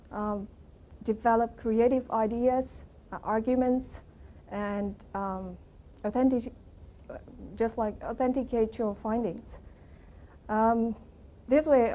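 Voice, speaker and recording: A woman's voice: on the high side, dark tone. A young woman student giving earnest, encouraging advice to fellow students. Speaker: university student.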